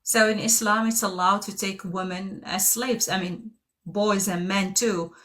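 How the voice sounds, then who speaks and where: A woman's voice: medium pitch, steady tone, unhurried, fairly bright tone. A woman in a heated debate who keeps pressing her point with persistent, pointed questions. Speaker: debate participant.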